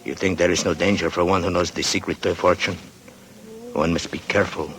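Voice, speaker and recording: Wary Mexican treasure keeper. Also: male voice, low voice, steady tone, a little fast, fairly bright tone. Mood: conspiratorial. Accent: Mexican Spanish (acted). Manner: broken English with Spanish words, wary and conspiratorial. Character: secretive Mexican ex-rebel man guarding a gold secret. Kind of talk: 1940s radio drama acting.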